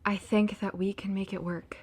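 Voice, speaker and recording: A woman's voice: medium pitch, flat delivery, normal speed, slightly rough, close to an everyday voice. A young woman acting in an audio drama as a heartbroken, emotional girlfriend pleading with her boyfriend. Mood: quiet, hopeful.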